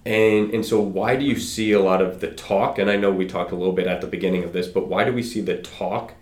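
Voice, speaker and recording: A man's voice: sits low, steady tone, fairly quick. A man hosting a casual interview who asks questions conversationally and answers with brief affirmations. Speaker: interviewer, host. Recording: roomy echo.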